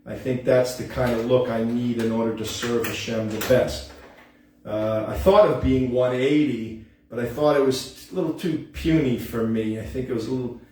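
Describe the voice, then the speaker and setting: A man in his late fifties talks through an earnest, meandering monologue as host of his home show, halting and restarting his sentences. His mid-range voice sits well back from the microphone. It rings around a strongly reverberant room. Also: mid-range voice, steady tone, darkish tone, a little hiss or hum. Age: 50s. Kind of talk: rambling casual monologue. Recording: echoey room, mic at a distance.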